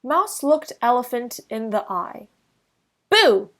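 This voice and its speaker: A woman reading a children's picture book aloud as narrator, deliberately, with pauses between short phrases. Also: fairly high pitch, animated. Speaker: storybook reader.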